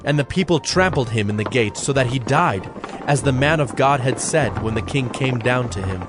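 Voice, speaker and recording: A man narrating a dramatized scripture reading in a measured, solemn manner. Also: medium pitch, lively intonation. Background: soft background music.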